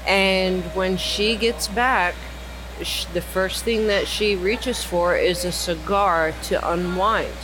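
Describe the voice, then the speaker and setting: A woman's voice: medium pitch, fairly even intonation, slow pace. Woman, podcast host, earnest and persuasive, casual roundtable talk, noisy recording with traffic behind. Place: cigar lounge.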